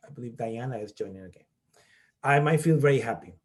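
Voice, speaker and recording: A man's voice: mid-range voice, expressive, fast talker. A middle-aged man from Peru who leads a workshop as a college professor, explaining step by step and often checking in with "okay?". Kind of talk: online workshop presentation.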